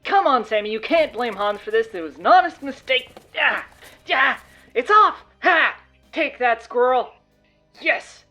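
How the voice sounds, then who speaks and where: A woman playing an excitable, dim-witted teenage boy at school, eager and prone to stammering. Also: a little high, a little slow, soft background music, full character voice. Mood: frantic. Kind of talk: comedic audio drama acting.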